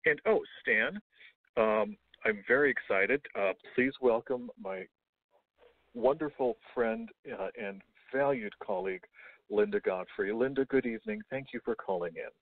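A male radio host chatting in an effusive, halting way, repeating himself, over a phone-like line. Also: lowish voice, animated. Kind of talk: casual radio interview. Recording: phone-like narrow band line.

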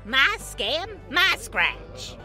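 A woman's voice: high-pitched, wildly swooping pitch, drawn-out words, loud music behind the voice, full character voice. A woman voicing a brash, bossy snow woman older sister with a drawl. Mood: scheming.

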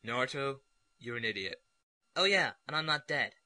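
A man voicing a blasé, sarcastic ninja teacher in an anime parody, deadpan and offhand. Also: mid-range voice, moderate pace, dry recording. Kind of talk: comedic anime parody voice acting. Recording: loud music behind the voice.

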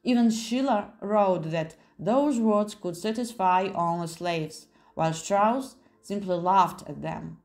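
A woman's voice: medium pitch, slow, hardly any pauses, darkish tone. A woman voicing a learned, self-assured male editor with a polite, lecturing manner in an audiobook.

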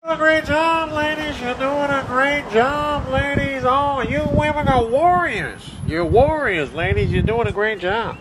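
Man putting on very high-pitched lazy cheerleading guy. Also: a little slow, few pauses. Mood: loud encouraging. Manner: repetitive, declamatory encouragement. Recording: very loud background noise.

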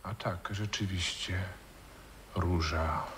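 Slow-spoken, calm gentleman. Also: low voice. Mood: puzzled. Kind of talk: 1960s radio drama acting. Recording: noisy vintage radio recording with clicks.

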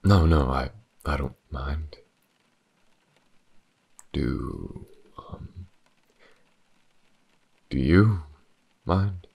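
A man playing a world-weary but tender detective in an intimate audio roleplay, with halting, shy, stammering speech. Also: darkish tone, exaggerated voice. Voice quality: very deep, gravelly, husky. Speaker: voice actor. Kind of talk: audio roleplay, intimate acting addressed to the listener.

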